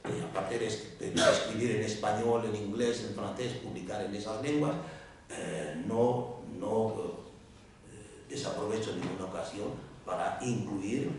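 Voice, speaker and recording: African writer guy at a panel, kinda low voice, lots of restarts, echoey hall far from the mic. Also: measured pace, slight background noise. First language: an African language. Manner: animated, many repeated words and restarts. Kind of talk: round-table talk. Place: lecture room.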